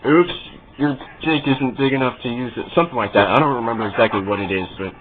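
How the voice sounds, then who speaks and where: A man making a prank phone call as a customer, speaking in a halting, deadpan, mock-earnest way. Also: mid-range voice, background noise. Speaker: prank caller. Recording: muffled narrowband phone call.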